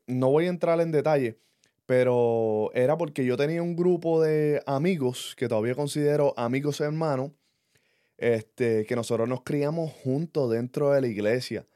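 Puerto Rican man, 30s, podcast co-host. Earnest, reflective storyteller, uses fillers. Mid pitch, dead-dry room. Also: mellow, no room sound. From Puerto Rico. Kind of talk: casual personal chat.

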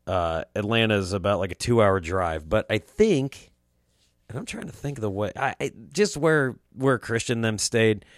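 A young man talks through a loose, profanity-laced story on his podcast, his throat scratchy from allergies. His voice sits fairly low. The room is dry, with no echo. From Quad Cities area, US Midwest. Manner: profane, rambling, laid-back storytelling. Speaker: podcast host. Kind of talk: casual storytelling monologue.

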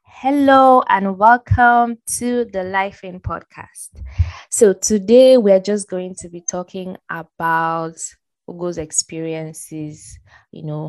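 A woman's voice: fairly low pitch, no echo. A woman hosting a podcast, chatty and laughing readily with her co-host. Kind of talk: casual chat.

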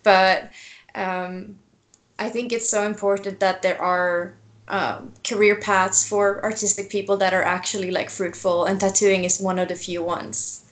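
A woman's voice: mid-range voice, a little slow. Woman in her twenties, laughing easily. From Sweden. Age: 20s. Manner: relaxed, laughs often, many fillers. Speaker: guest, tattoo artist. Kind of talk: casual interview.

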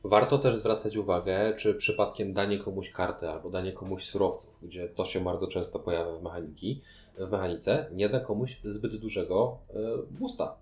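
A man with a fairly low, warm and dark voice speaks as a podcast co-host. He explains in a measured, conversational manner, keeping things casual. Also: steady tone. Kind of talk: casual board game discussion.

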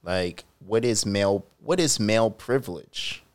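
A man's voice: lowish voice, lively intonation, a little slow. A man co-hosting a casual podcast who mostly backs up his partner with short agreeing replies. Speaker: podcast co-host.

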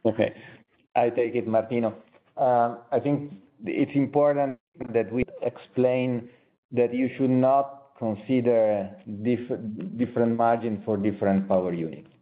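A man's voice: mid-range voice, fairly even intonation, measured pace. A man speaking as a company executive, giving explanatory answers on an earnings call. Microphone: conference call line. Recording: narrow, tinny phone line.

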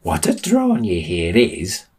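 A man's voice: mid-range voice, wildly swooping pitch, normal speed, gravelly, husky, voice pushed up, full character voice. A man voicing a silly, self-important storybook cousin who speaks in a quaint archaic dialect. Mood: theatrical. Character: eccentric, self-important, silly male cousin, would-be rescuer.

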